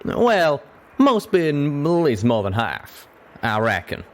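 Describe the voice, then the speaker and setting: A man's voice: fairly high pitch, very animated, slow, pitched above the performer's own voice. A man voicing an earnest, folksy pegasus cowboy pony in a storybook reading. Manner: drawling, folksy.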